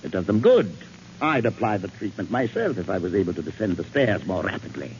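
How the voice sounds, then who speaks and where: Pompous, wildly swooping genteel villain. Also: male voice, deep voice, sing-song, darkish tone. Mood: imperious, smug. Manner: pompous, florid, drawn-out theatrical phrasing. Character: pompous, gouty villain, genteel, gleefully sinister older gentleman. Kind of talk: 1940s radio drama acting. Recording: muffled, hissy 1940s radio recording.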